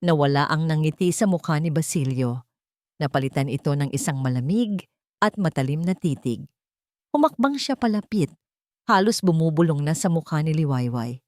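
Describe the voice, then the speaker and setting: A woman's voice: deep voice, lively intonation, moderate pace, a little mellow, no echo, clean studio sound. A woman with a Filipino accent reading a scripted dramatic story as its narrator.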